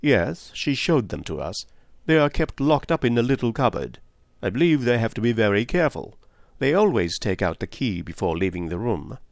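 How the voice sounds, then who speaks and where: A man voicing a reserved, earnest and slightly stiff English gentleman in an audiobook. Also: mid-range voice, big pitch swings, moderate pace, vocal fry, no echo, clean studio sound. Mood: helpful.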